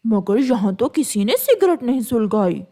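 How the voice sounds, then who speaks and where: A woman's voice: a little high, very animated, moderate pace, warm tone. A woman voicing a bossy, snappish mother crow in a children's cartoon. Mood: defensive. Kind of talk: children's cartoon character acting.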